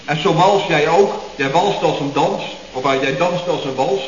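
A man's voice: fairly high pitch, moderate pace, close to an everyday voice. An actor playing a cheeky, garrulous young man in a radio comedy, rambling and muddling his words. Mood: defensive muddle. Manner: rambling, mangles words and corrects himself. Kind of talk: 1970s radio comedy acting. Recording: echoey, dull old radio recording, faint noise.

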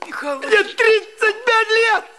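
A man's voice: very high-pitched, lively intonation, slow pace, heavily acted. An actor playing a bitter, despairing man in his thirties, theatrical and self-pitying in a film drama. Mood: hysterical despair. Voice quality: strained shouting. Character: despairing, bitter 35-year-old married man in breakdown. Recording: film soundtrack with crowd behind.

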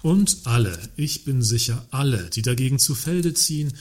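Guy playing a husky wordy union rep in a satire, fake-earnest, sly irony, medium pitch. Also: expressive, moderate pace, lightly acted. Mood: sly, provocative. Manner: wordy, mock-earnest, provocative, hedging politely. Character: wordy, slyly ironic male works council member. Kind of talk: satirical radio play dialogue.

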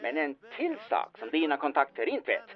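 A man's voice: fairly high pitch, wildly swooping pitch, highs cut off. A man playing a bossy, scheming small-time fixer in an audio drama, insistent, sardonic and conspiratorial. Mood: conspiratorial, wry.

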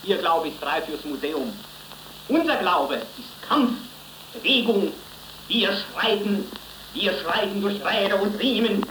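An actress playing a fervent young orator rallying youth in a 1930s radio play, declaiming solemnly and emphatically. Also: female voice, a little high, fairly bright tone. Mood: stern, measured. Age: young adult. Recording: echoing, faintly noisy 1930s radio recording.

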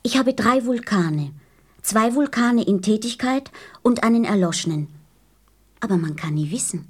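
A curious little boy prince in a radio play. The voice is slightly high with a husky grain. The delivery is earnest, childlike and persistently questioning. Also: lively intonation, normal speed, darkish tone.

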